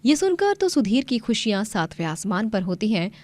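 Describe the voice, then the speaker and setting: A woman's voice: mid-range voice, very animated, moderate pace, a little mellow, no echo, studio quality. A woman narrator reading a scripted moral story.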